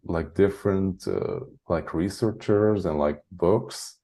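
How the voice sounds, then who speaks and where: Man, deep and dark-toned, loose rambling interview guest who chuckles often. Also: slow. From Hungary. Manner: rambling, heavy use of "like", frequent chuckles. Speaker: guest, spiritual channeler. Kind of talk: casual interview chat.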